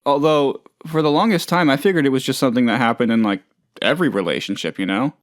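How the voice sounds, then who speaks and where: A man's voice: mid-range voice, fast, dry recording, studio quality, natural voice. A man playing a hapless, trusting, lonely oddball in a video game, talking in a rambling, hesitant, good-natured way. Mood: hesitant, wounded. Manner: rambling, hesitant, naive, chatty. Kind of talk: video game character dialogue.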